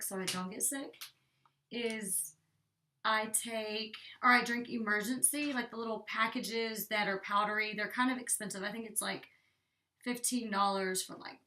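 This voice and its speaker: A woman's voice: medium pitch, pushed and bright. A young woman chatting casually through a hair tutorial, rambling with lots of "like", a bit congested and out of breath. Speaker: beauty vlogger. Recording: distant from the mic.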